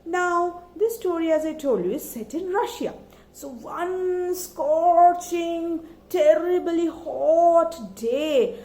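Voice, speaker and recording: High, animated woman storyteller in echoey room. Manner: dramatic, asks the listeners questions. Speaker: children's storyteller.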